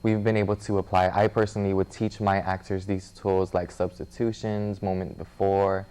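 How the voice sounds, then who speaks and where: A man's voice: low voice, flat delivery, normal speed, mellow, smooth. A young man, a student theater director and actor, chatting casually as an interview guest. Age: young adult.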